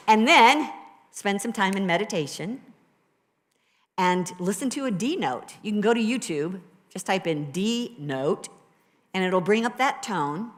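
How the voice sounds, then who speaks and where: A woman minister with a low voice, preaching a sermon and leading a guided meditation in a conversational, encouraging way to a live congregation. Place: church sanctuary with a live congregation. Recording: live church recording with long reverb.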